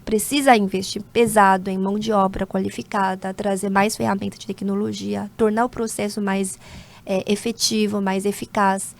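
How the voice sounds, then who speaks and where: Measured Korean-born woman in her forties. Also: medium pitch, level tone, gravelly. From South Korea. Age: 40s. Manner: measured, with frequent hesitation fillers. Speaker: interview guest, corporate lawyer. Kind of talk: conversational interview.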